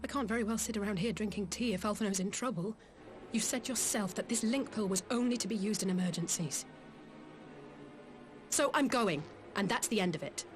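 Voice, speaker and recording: Headstrong young heroine for a fantasy game, British, brisk and determined played by an actress, music underneath. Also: female voice, medium pitch, natural voice. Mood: defiant. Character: headstrong, impatient young woman adventurer. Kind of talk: dramatic video game dialogue.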